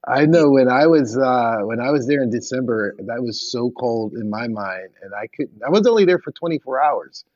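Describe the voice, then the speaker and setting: A man's voice: mid-range voice, expressive, moderate pace, hardly any pauses, darkish tone, no echo. A man in his forties co-hosting a podcast interview, chatting and asking long rambling questions with restarts. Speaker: podcast co-host. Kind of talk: casual interview chat. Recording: dull, dry lo-fi sound.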